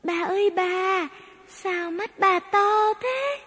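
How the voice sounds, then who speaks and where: A woman voicing a small, innocent little girl in a cartoon, speaking in a very high childlike voice. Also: takes it slowly, fairly bright tone, full character voice. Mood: curious. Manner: very high, childlike, shrill when scared. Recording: reverberant with background music.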